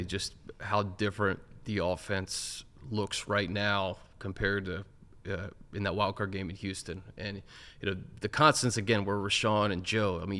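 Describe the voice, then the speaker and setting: A man with a fairly low voice hosts a sports talk show in a relaxed, conversational way. He works through his points slowly with plenty of ums and you-knows. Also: clean tone. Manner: conversational, thinking aloud with fillers. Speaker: podcast host.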